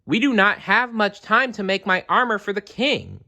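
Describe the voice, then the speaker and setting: A storyteller voicing an excitable, quick-tempered young boy who exclaims and shouts in a storybook. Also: mid-range voice, pitched above the performer's own voice. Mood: eager, excited. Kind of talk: storybook character voice.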